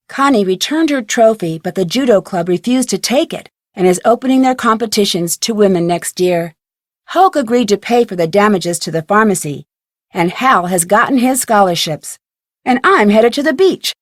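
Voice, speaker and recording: A woman's voice: medium pitch, dry recording, studio quality, natural voice. A woman playing an inquisitive, polite teenage girl detective in an adventure game, with earnest scripted delivery.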